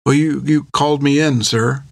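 A man's voice: mid-range voice, fairly even intonation, normal speed, creaky. A man voicing a frightened young woman disguised as a boy sailor, who stammers meekly and pleads.

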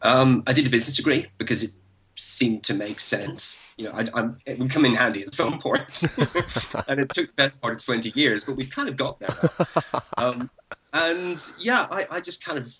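A man in his forties from Belfast with a Northern Irish accent, thinking back as a podcast guest, with frequent restarts and soft laughs. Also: medium pitch, slight background noise, rough recording. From Northern Ireland. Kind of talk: relaxed interview over a call.

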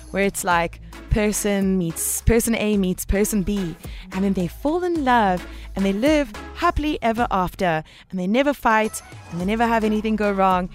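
A woman hosting a radio talk show, speaking in an informal, slangy and emphatic conversational way. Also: medium pitch. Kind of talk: conversational radio commentary with listener voice notes.

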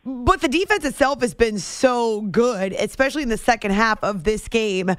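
A woman's voice: fairly high pitch, animated, normal speed, no echo. A woman hosting a sports radio show, interviewing a guest in a conversational way.

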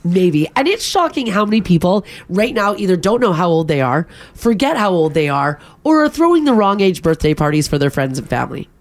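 Lively woman co-hosting morning radio. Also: on the low side, fairly quick. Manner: lively, laughs often, reads texts and phone numbers on air. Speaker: radio show co-host.

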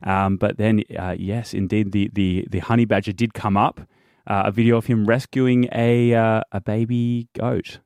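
A man's voice: on the low side, level tone, moderate pace, a little mellow, clear, resonant tone, no room sound, clean studio sound. A man, a guest journalist chatting on a podcast, often repeating words and laughing between points. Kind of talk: casual podcast chat.

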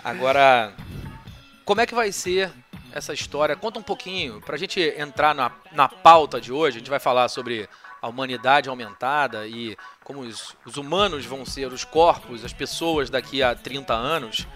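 A man hosting a podcast, speaking with lively energy and enthusiasm. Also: mid-range voice, moderate pace, faint music behind. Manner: exuberant greeting, lively and loud. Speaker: podcast host.

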